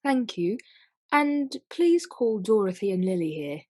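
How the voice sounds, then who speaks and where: A woman's voice: mid-range voice, very animated, a little slow, dark tone, dry recording, studio quality. A woman playing a proud, weary aristocratic widow in a radio play, formal and clipped. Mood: coolly polite.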